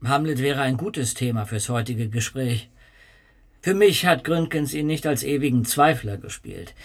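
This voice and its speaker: A man's voice: mid-range voice, normal speed, close to an everyday voice. An actor playing a chatty male guest who recounts rumors at a dinner. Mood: musing. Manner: discursive, gossip-telling. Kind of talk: radio play acting.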